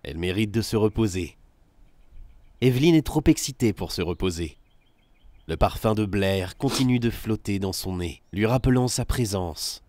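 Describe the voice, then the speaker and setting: A man's voice: on the low side, lively intonation, moderate pace, dark tone. A man reading scripted third-person narration for a film.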